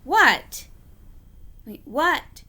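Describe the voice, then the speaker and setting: A sulky, self-important male steam engine from a storybook, acted out by a woman reader. The voice is high and forceful, full of loud, indignant complaint. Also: big pitch swings, extremely slow, full character voice. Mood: startled, sceptical.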